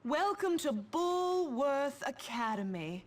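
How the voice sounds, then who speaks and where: An actress playing a prim, formal female school official in a video game, with a measured manner. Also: high-pitched, sing-song, distant mic, slight background noise, full character voice. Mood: formal welcome. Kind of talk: video game cutscene acting.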